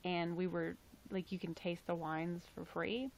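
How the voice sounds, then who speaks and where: A young woman live streaming, chatting casually in a raspy, tired voice, rambling and swearing now and then. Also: lowish voice, flat delivery, unhurried, a little mellow.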